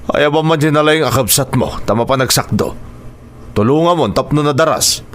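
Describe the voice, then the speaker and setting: A man's voice: medium pitch, brisk, a little hiss or hum, close to an everyday voice. Man acting stern, bossy uncle. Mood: bossy, impatient. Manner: bossy, scolding. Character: stern, demanding uncle ordering children to do chores. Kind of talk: radio drama acting.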